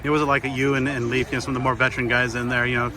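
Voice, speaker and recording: A man's voice: mid-range voice, flat delivery, rapid-fire. A man, a sports reporter, asking long, rambling questions with many fillers at an outdoor interview. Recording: outdoor scrum with crowd chatter.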